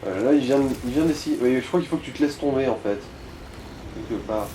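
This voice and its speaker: A man's voice: medium pitch, quick pace, background noise. A man giving casual, blunt gameplay commentary and tips to the player, peppered with crude slang and swearing. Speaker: let's play co-commentator guiding the player.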